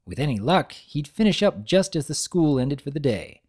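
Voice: mid-range voice, sing-song, normal speed, darkish tone, slightly rough. A narrator giving a dramatic reading of a story in scripted storybook style.

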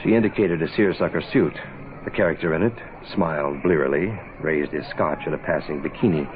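An actor playing a cool, wry American private investigator, dry and hard-boiled, in 1960s radio noir. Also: male voice, very deep voice, fairly quick, slight background noise. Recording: tinny lo-fi 1960s radio recording, music behind.

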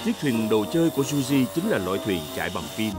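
A woman's voice: very deep voice, sing-song, fast. A woman, playing the storybook narrator of a young children's cartoon. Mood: storytelling. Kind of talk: scripted narration. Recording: music behind the voice.

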